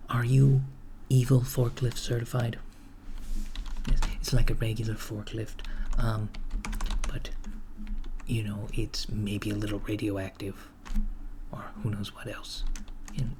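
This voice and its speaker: A man in an ASMR roleplay playing a mild-mannered corporate recruiter of henchmen, soft-spoken, hesitant and politely matter-of-fact. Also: on the low side, level tone, unhurried, noisy background. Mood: polite, businesslike. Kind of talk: ASMR roleplay, job interview.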